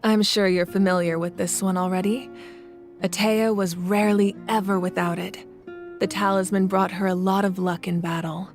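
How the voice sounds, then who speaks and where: A woman voicing an adult collector in a video game, telling old stories in a measured, reflective way. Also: medium pitch, flat delivery, close to an everyday voice. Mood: calm, reflective. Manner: measured, reflective storytelling with soft laughs. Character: adult woman collector, calm and thoughtful storyteller. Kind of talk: video game cutscene dialogue. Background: game music.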